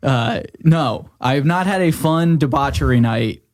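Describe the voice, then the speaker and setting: A man's voice: mid-range voice, unhurried, darkish tone. A man who is a comedian and podcast guest, chatting casually and telling humorous stories.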